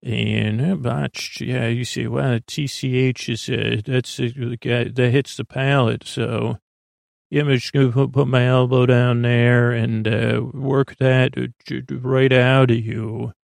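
A man with a mid-pitched voice and a gravelly, fry-laden edge, rambling through a bedtime monologue. He halts, restarts and meanders, and the sound is close and dry with no room around it. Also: little pitch movement, dry recording. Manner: halting, meandering, with many fillers and restarts. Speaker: podcast host. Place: small studio.

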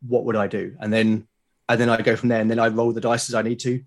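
A British man talking as a podcast guest, explaining his creative process in a reflective, halting way. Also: on the low side, little pitch movement. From Britain. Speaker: podcast guest, creator of a solo role-playing show.

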